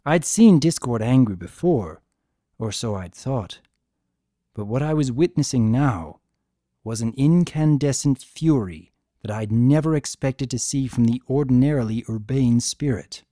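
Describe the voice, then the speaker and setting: A man narrates a story in a dramatic, deliberate style. His pace is slow and his pitch moves expressively around a middle register. The recording is dry. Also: expressive, warm tone, no room sound. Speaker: audiobook narrator.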